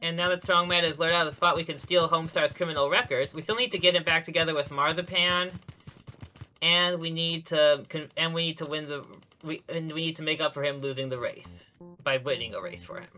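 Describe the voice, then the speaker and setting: A man's voice: fairly high pitch, steady tone, fairly quick, pushed and bright, faint music behind. A man giving live commentary on a speedrun, explaining each step and often correcting himself mid-sentence. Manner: explanatory, frequent self-corrections and restarts.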